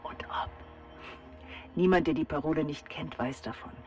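An actress playing a secretive, bossy but kindly salon woman in a children's radio play, giving brisk, conspiratorial instructions. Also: low-pitched, faint music behind, close to an everyday voice. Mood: confiding.

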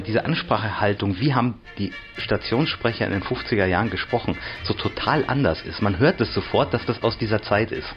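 Man in his 30s, casual interviewee, halting, low voice, music clearly behind. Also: lo-fi sound. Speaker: interviewee, radio tape collector. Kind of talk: casual spontaneous talk. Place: private house room.

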